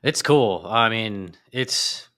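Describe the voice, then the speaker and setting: A man chatting as a podcast guest, an animated storyteller whose voice jumps up when he gets excited. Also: fairly low pitch, extremely slow. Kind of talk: casual chat. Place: apartment in a big city.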